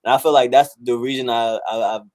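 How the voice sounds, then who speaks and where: A man in his twenties from South Carolina, a musician talking casually in an interview, relaxed and full of fillers. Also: medium pitch, fairly quick, no echo. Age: 20s. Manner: laid-back, many fillers like "um", "like" and "bro". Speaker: musician guest.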